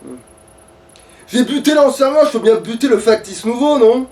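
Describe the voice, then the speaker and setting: High-pitched foul-mouthed man, off-mic. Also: animated, normal speed, far from the mic. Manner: foul-mouthed, exasperated grumbling with shouted outbursts. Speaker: video game player. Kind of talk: casual let's play chat.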